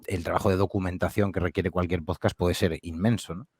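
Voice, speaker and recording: A man speaking in a relaxed, thoughtful way as a podcast guest, using many fillers and tag questions. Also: low voice, fairly quick, husky, dry recording. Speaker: podcast guest, audio fiction producer. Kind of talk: casual interview answers.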